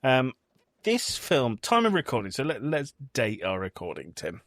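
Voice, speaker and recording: A man's voice: medium pitch, wildly swooping pitch, moderate pace, a bit bright, no room sound, clean studio sound. A man in his thirties with a British accent, hosting a podcast in casual conversation. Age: 30s. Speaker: podcast host.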